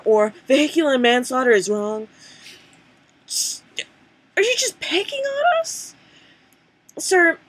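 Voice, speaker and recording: A young woman with a fairly high voice reads a letter aloud as a comic performance. Her delivery is theatrical and emphatic, with mock-formal sarcasm. She is recorded on a computer's webcam microphone in a room at home. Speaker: amateur reader performing a letter. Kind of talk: dramatic comic reading of an open letter. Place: small room at home, indoors. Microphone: computer webcam microphone at desk distance.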